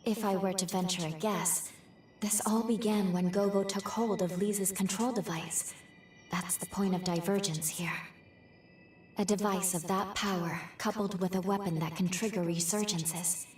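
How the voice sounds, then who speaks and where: A woman's voice: mid-range voice, steady tone, natural voice. Earnest female guide actress, echoing and distant. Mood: grave urgency. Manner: formal, measured, grave. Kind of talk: video game cutscene acting. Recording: echoey, distant voice over soft music.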